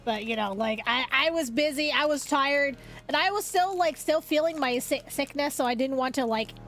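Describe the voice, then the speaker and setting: A woman's voice: high-pitched, moderate pace, fairly bright tone, faint music behind. A young woman streaming as a VTuber, chatting casually with viewers in a rambling way, a little sniffly from a cold. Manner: rambling, many restarts and fillers, chatty. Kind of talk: casual livestream chat.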